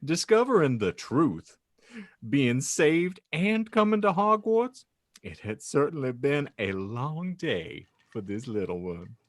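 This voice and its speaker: A man's voice: mid-range voice, very animated, slow pace, no echo. Guy narrating a silly fan fic on a podcast, bouncy sing-song delivery, dry close mic. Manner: playfully exaggerated, laughs often. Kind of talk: mock-pious comedic reading of fan fiction.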